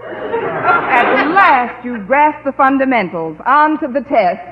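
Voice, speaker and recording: An actress playing a wry, articulate English teacher with precise diction, in old-time radio comedy style. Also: female voice, high-pitched, animated, slow pace, over a noisy crowd, very noisy. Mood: brisk, wry. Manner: crisp schoolmarm diction, dry wit. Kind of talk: 1940s-50s radio sitcom acting. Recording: muffled old radio recording.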